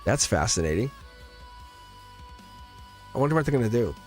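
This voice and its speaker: A man's voice: mid-range voice, very animated, normal speed, soft background music. A man giving a live-streamed tarot reading, chatty and teasing, laughing often as he talks to his viewers. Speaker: tarot reader.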